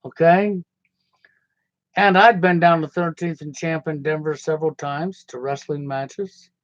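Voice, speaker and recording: A man's voice: on the high side, steady tone, a little slow, no room sound. A middle-aged American man telling a rambling personal story in a folksy, casual way with loose grammar. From United States. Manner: folksy, conversational, digressive, nonstandard grammar. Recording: slightly dull, dry lo-fi sound.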